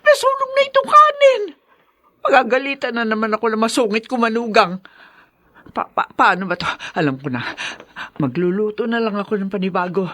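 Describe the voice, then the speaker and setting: A woman's voice: fairly high pitch, big pitch swings, a little fast, a bit bright. An actress playing an anxious older mother-in-law in a radio drama, muttering fretfully to herself and exclaiming. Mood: flustered muttering.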